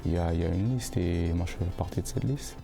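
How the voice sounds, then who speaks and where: Young footballer guy, deep gravelly voice, stops and repeats himself a lot, music playing under it. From born in the United States, raised in Canada. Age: young adult. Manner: halting, repeats words while searching for phrasing. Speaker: football player. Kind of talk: casual interview answers.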